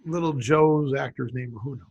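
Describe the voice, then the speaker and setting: A man's voice: medium pitch, fairly even intonation, normal speed, a little mellow, highs cut off. A man co-hosting a podcast, talking in a joking, rambling, wry way. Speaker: podcast co-host. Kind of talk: casual chat.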